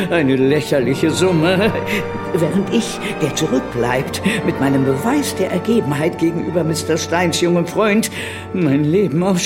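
Sly older villain, high swooping voice, spiteful, wheedling, self-righteous, radio play. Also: male voice. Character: wheedling, spiteful older male scoundrel and bullying stepfather. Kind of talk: radio drama acting.

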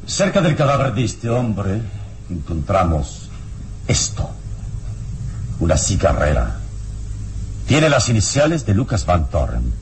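An actor playing a calm, resolute, courteous hero in a vintage radio drama, speaking with solemn, deliberate gravity. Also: male voice, lowish voice, full character voice. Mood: grave, firm. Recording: faintly noisy old radio recording.

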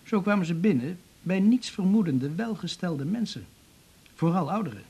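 An actor playing a businesslike, sceptical and insistent police investigator in a 1980s radio drama, with a Netherlands Dutch accent. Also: male voice, high voice, expressive, moderate pace, a little mellow, breathy edge, exaggerated voice.

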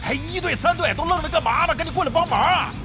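An actor playing a crass, shameless veteran gamer who swears and blusters theatrically in an audio drama. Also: male voice, very high-pitched, fast talker. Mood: cocky. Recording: loud music under the voice.

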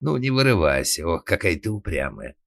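A man's voice: sits low, sing-song, a little fast, gravelly, fairly bright tone, no room sound, exaggerated voice. A doting, lecherous policeman of a comic audiobook, as a male narrator acts him, cooing and wheedling like a henpecked husband. The recording is dry and close. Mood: amorous cooing.

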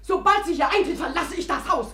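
An actress playing a stubborn, indignant young sister, shrill and defiant, in an echoey 1950s German radio drama. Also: female voice, lively intonation, a little fast, bright, forceful tone, exaggerated voice.

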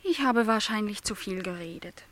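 An actress playing a lonely young housemaid in a literary radio play, speaking earnestly, politely and with some hesitation. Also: female voice, medium pitch, expressive, moderate pace, a bit bright, close to an everyday voice. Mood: thoughtful, troubled. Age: young adult.

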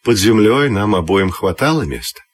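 A man voicing Oil, a friendly, evasive and calm substance in a children's storybook tale, speaking in an unruffled manner. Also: on the low side, moderate pace, breathy edge.

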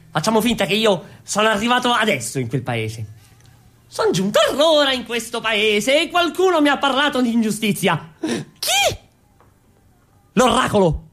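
A man playing an excitable, boastful young revolutionary who addresses the crowd with declamatory questions in a comic radio play. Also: very high-pitched, heavily acted. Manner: animated, declamatory, rhetorical questioning. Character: excitable young male revolutionary rebel, boastful wandering storyteller. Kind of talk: comic street-theatre monologue on radio.

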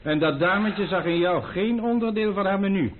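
An actor playing a wise, teasing, food-loving former servant and friend in a radio drama, measured and gently ironic. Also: male voice, high-pitched, crowd noise, close to an everyday voice. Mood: instructive. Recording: noisy, narrow-band old radio recording.

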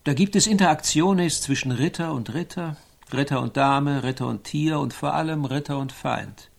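An actor playing a learned, pedantic companion to a knight in a radio play, sententious and didactic. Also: male voice, on the high side, moderate pace, gravelly. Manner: sententious, didactic, quoting Latin maxims. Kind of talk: German radio play acting.

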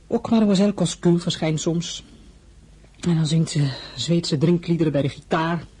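An actor playing a young crown prince in a radio play, formal and earnest, sometimes getting heated. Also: male voice, a little high, moderate pace, dark tone, husky.

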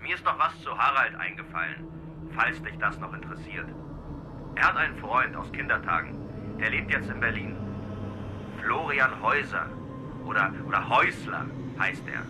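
A man's voice: fairly low pitch, lively intonation, moderate pace, close to an everyday voice. An actor playing a chummy, cocky taxi driver in a radio drama, rambling informally as he leaves a message. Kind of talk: naturalistic radio drama acting. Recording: noisy ambience with vehicle sound.

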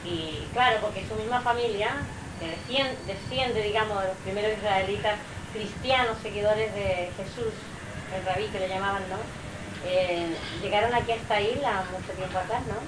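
A woman's voice: mid-range voice, moderate pace. A woman with a Chilean accent talking casually in a group discussion, often adding little checking tags. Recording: distant mic, roomy, noisy amateur recording.

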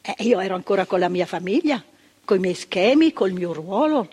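An older actress playing a frank, earnest former psychiatric nurse in a stage monologue. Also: female voice, mid-range voice, animated, normal speed, a little mellow, slightly rough. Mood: earnest recollection. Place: theatre stage.

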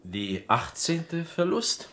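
An actor playing a needling man in a German radio play, broken grammar and drawn-out taunts. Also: on the high side, big pitch swings, a bit bright, soft background music, exaggerated voice. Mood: taunting. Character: needling, gloating Greek man counting chess losses.

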